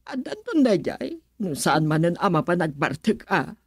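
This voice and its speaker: A woman's voice: fairly low pitch, sing-song, moderate pace, darkish tone, breathy edge, no echo, clean studio sound, exaggerated voice. A woman playing a friendly, hospitable middle-aged aunt in a radio drama, chatting away. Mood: welcoming.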